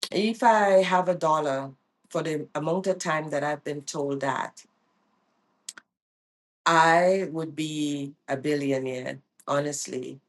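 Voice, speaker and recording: Slow, low-voiced woman vlogger. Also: steady tone. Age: middle-aged. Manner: earnest, repeats phrases for emphasis. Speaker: vlogger and life coach. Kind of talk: reflective personal vlog.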